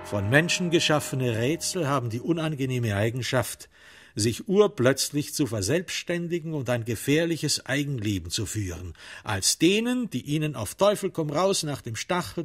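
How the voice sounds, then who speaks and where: Man narrating a crime radio play. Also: mid-range voice. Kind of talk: dramatic scripted literary narration.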